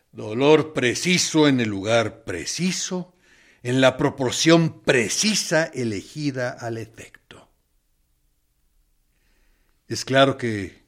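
A man acting a radio drama monologue as a menacing interrogator who feigns reason and kindness, insinuating and mock-courteous. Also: mid-range voice. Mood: crude, sly menace.

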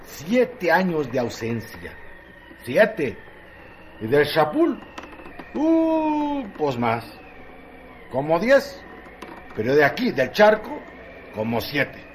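An actor playing a wry, gossipy storyteller of lowlife in a radio drama, with halting, emphatic phrasing. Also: male voice, huge pitch swings, a little hiss or hum, exaggerated voice. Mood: wry, relishing. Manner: theatrical, halting, emphatic pauses. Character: wry gossipy male storyteller of brothel lowlife, relishing details. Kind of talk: radio drama narration, colloquial.

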